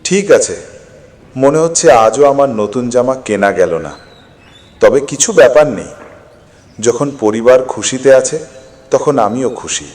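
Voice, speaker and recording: Guy acting poor kindly farmer dad in a story, really animated tone, big echo. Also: mid-range voice, a little slow, pauses often, lightly acted. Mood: reassuring. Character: poor hardworking farmer father, gentle and self-sacrificing. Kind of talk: dramatised moral story acting. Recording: long echoing reverb.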